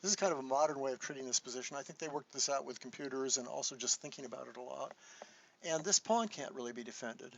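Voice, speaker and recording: A man teaching a live online chess lesson, soft-spoken and thinking aloud as he walks through moves, with frequent restarts. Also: medium pitch, gravelly, dull audio. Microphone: desktop microphone.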